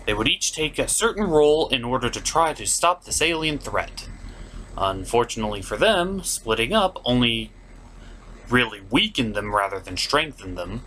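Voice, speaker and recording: Animated, sardonic male comedy reviewer. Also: mid-range voice, normal speed, poor audio. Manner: sardonic, exasperated. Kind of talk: sarcastic episode recap commentary.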